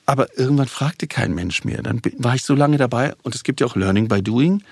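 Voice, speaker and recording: A man in his sixties tells stories as a podcast guest in a lively, self-mocking way. His voice is mid-pitched and slightly husky. The sound is close and dry, with no room echo. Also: a little fast. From Berlin, Germany. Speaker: guest actor. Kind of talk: relaxed storytelling in a podcast interview.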